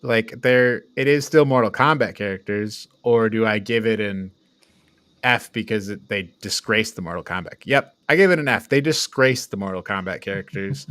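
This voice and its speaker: A man's voice: on the low side, moderate pace, a bit bright, clean tone, no room sound. A man hosting a casual review chat, talking informally with his co-hosts. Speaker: host of a film ranking show.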